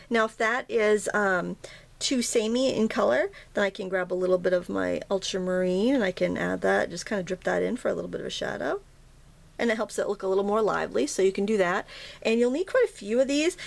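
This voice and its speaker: A woman talks through a watercolor lesson while she paints at a table, her voice a little hoarse and gravelly with vocal fry. She chats casually, restarting sentences and filling gaps with ums as she works. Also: medium pitch. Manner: chatty, self-interrupting, frequent ums and restarts. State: slightly hoarse, morning froggy throat. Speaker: watercolor teacher. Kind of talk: casual painting tutorial narration.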